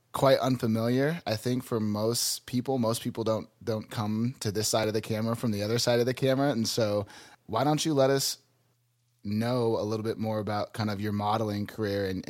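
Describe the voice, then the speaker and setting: A man hosting a podcast interview, upbeat and a little self-deprecating. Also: fairly low pitch. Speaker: podcast host.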